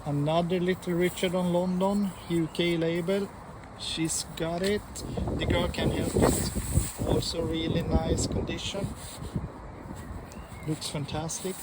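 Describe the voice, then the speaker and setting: A man casually presenting to viewers as a record collector host, in a halting, unhurried way. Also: fairly high pitch, little pitch movement, heavy background noise. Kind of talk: casual showcase vlog talk.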